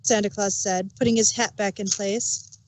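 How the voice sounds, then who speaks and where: A woman narrator reading a storybook tale in rhyming verse with an expressive read-aloud cadence. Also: mid-range voice, moderate pace, a little hiss or hum, rough recording.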